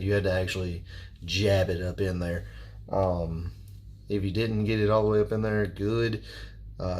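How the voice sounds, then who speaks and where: Man, lowish voice, very even intonation, plainspoken casual reviewer, halting delivery, background noise. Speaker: product reviewer and firearms instructor. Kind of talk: casual unscripted product review.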